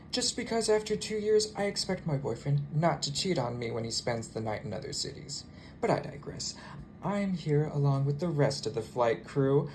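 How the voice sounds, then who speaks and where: A man's voice: fairly high pitch, a little mellow, a little hiss or hum. Bitter, sarcastic jilted flight attendant, acted by a man. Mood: wounded, wry. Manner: sarcastic, wounded, emphatic. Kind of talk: theatrical solo monologue as cabin announcement.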